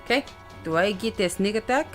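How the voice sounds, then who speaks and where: A woman's voice: medium pitch, expressive, brisk. A woman playing a tabletop game, chatting casually in a playful, exclamatory way. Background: music.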